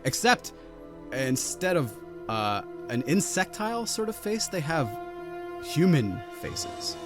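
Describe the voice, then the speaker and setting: A man narrating a tabletop role-playing game as game master, describing scenes in a relaxed, vivid way. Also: on the high side, slow, music in the background.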